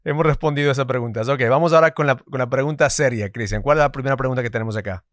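A man with a mixed Ecuadorian and Dominican Spanish accent, co-hosting a podcast. He talks with energy, restarts words and slips in English terms. His voice is mid-pitched, recorded dry and close. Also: racing, dry recording. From Ecuador, raised in the United States. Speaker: podcast co-host. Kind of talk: business podcast conversation.

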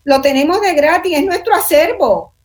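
A woman's voice: extremely high pitch, lively intonation, moderate pace. An older woman with a Puerto Rican accent, hosting a panel discussion in a reflective way and often adding "¿verdad?". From Puerto Rico. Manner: thoughtful, reflective, tags sentences with "¿verdad?". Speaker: host.

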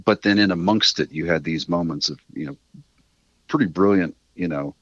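A man's voice: sits low, normal speed, a bit bright, dry recording, lo-fi sound. A middle-aged American man reminiscing in a casual, rambling, conversational way as an interview guest. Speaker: interview guest, writer and musician.

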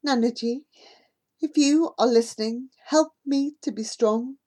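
A woman's voice: fairly high pitch, measured pace, warm tone, voice pushed up. A woman playing a stubborn, upset young girl. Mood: distraught. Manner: emotional, outbursts, trembling.

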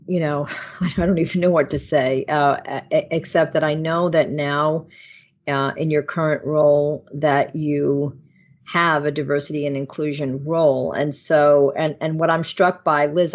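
A woman hosting an interview, asking long questions with many restarts. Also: low-pitched. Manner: wordy, searching questions with restarts. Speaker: interview host. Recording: dull lo-fi audio.

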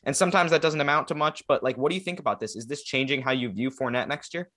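A man's voice: medium pitch, fast, a bit bright. A young man hosting a sports podcast in a casual, rambling chat with a guest. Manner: rambling, filler-heavy, conversational. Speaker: podcast host.

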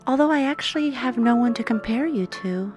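High, lilting, seductive statue woman played by an actress. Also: expressive, fairly quick, a little mellow, faint music behind, close to an everyday voice. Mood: dreamy, seductive.

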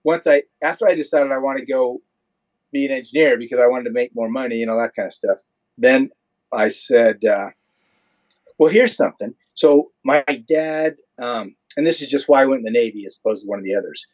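A man's voice: mid-range voice, a little fast, darkish tone, low-quality recording. An older American man, an airline pilot being interviewed, explaining things in a conversational, matter-of-fact way. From United States.

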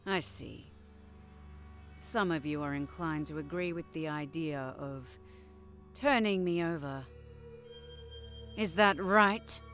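An actress playing a stern, menacing military commissar, cold and commanding, in a sci-fi war audio drama. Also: female voice, low voice, slow, long pauses, fairly bright tone, faint music behind.

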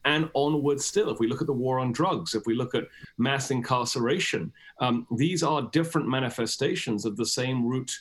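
A man's voice: medium pitch, moderate pace. A man with a British accent, speaking as an academic guest in an explanatory, discursive and emphatic interview style.

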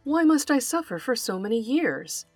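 Woman voicing a sad worn-out donkey, plaintive, higher pitched, dry close sound. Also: sing-song, moderate pace, no echo, soft background music. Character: weary put-upon donkey, plaintive.

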